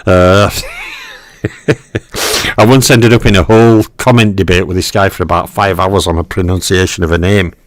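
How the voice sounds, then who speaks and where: A man's voice: deep voice, steady tone, moderate pace, long pauses, no echo. A man hosting a boxing history channel, talking through a fighter's record in a casual, filler-heavy way with lots of okays and ums. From Western Europe. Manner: greets viewers in live chat. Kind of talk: casual narrated stat breakdown.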